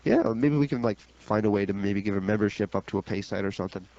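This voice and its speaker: A man's voice: fairly low pitch, fast, mellow. A man co-hosting a radio show, giving blunt, emphatic opinions in casual sports chat. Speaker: radio co-host. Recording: dull, lo-fi radio recording.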